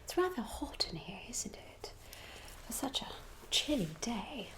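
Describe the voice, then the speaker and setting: A woman voicing a genteel, gossipy Regency lady in a soft ASMR roleplay whisper, musing politely and hesitantly. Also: fairly high pitch, very animated, a little slow. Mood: polite, pondering. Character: genteel, gossipy young Regency lady planning a matchmaking guest list.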